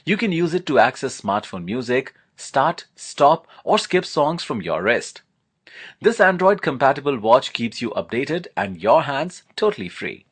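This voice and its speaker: A man's voice: mid-range voice, big pitch swings, measured pace, husky. A man narrating a scripted product demonstration, explaining things step by step in an instructional manner.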